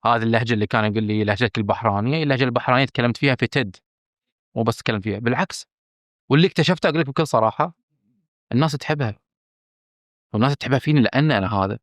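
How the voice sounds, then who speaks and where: A man with a Bahraini accent, a film director speaking candidly as a podcast guest, emphatic and earnest, often pausing on fillers and restarting. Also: medium pitch, no room sound, clean studio sound. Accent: Bahraini Arabic. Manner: earnest and emphatic, stressing his frankness, with hesitant fillers and restarts.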